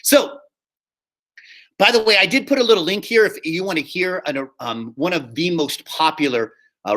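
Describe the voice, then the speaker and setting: A man teaching a high school theater class online, explaining slides in a conversational, repetitive way. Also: pushed and bright. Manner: conversational and explanatory, often repeats himself. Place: live online class over video call.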